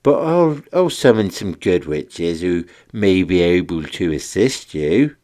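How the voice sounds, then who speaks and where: A man's voice: low-pitched, very animated, warm tone, heavily acted. A man voicing an obliging shark wizard in a theatrical manner for a children's bedtime story. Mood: cheerful, obliging. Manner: theatrical, incanting, drawn-out.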